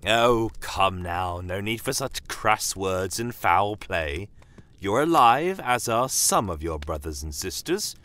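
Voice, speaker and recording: A man voicing a shrewd, courteous non-human commander in a fantasy audio drama, measured and politely menacing. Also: fairly low pitch, expressive, fairly bright tone. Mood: smoothly courteous.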